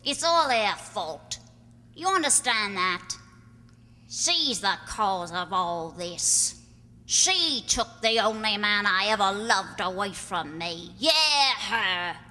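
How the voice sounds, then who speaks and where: A woman's voice: mid-range voice, animated, takes it slowly, sharp tone. A woman playing a bitter, vengeful, scarred slum woman in an amateur radio play, coarse and taunting, in a reverberant hall. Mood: vengeful, anguished. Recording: reverberant hall, faint noise and hum.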